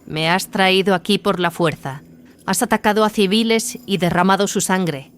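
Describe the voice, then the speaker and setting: A woman's voice: mid-range voice, moderate pace, close to an everyday voice. A woman playing a stern, righteous warrior nun in an audio drama, speaking in a firm, questioning way. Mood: indignant. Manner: firm, interrogating. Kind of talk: dramatised audio fiction dialogue in Spanish.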